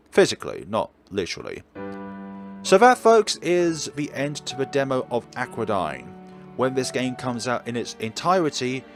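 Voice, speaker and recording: A man hosting a let's play, chatting through a visual novel in an animated way. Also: mid-range voice, gravelly.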